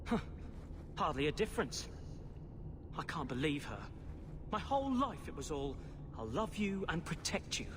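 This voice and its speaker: High-voiced resentful teenage boy. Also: sing-song, moderate pace, pauses often. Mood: resentful, wary. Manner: indignant, petulant. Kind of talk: video game cutscene acting. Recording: clear ambient noise behind.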